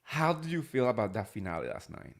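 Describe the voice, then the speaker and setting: A man's voice: medium pitch, wildly swooping pitch, fairly quick. A man in his late thirties with a mid-range voice, guesting on a casual podcast. He rambles and hesitates, hunting for words with many fillers. His talk is loose and conversational. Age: late 30s. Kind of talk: casual chat.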